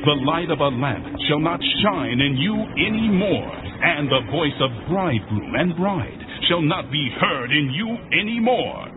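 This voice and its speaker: A man's voice: a little high, expressive, measured pace, few pauses, a crowd in the background. A man voicing a mighty angel who solemnly declaims a city's final ruin in a scripture drama. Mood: grave, final. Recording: tinny band-limited audio with music.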